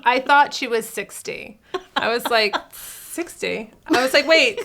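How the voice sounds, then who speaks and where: A woman's voice: a little high, a little slow, sharp tone. A woman in her fifties hosting a beauty vlog, chatting and acting out her reactions. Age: 50s. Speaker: beauty vlogger host. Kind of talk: casual chat.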